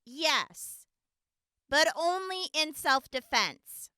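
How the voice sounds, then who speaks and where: A woman playing a gentle, earnest young wasteland medic giving courtroom testimony in an audio drama, speaking deliberately with weighty pauses. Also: sits high, animated, dry recording, clean studio sound, full character voice. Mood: steady, defensive.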